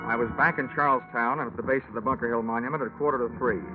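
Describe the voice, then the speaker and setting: A man's voice: medium pitch, racing, natural voice. Man acting wry american private investigator, old radio show, muffled thin sound w music behind. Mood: wry narrating. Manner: wry, slangy, deadpan. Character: wisecracking hard-boiled male insurance investigator. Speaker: lead actor and narrator.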